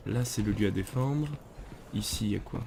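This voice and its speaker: A man with a cold, chatting in slang and getting excited while commenting on a game to his viewers. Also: lowish voice, brisk, vocal fry, noisy background. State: has a cold. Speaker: gamer. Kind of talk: casual gameplay commentary. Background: game music and sounds.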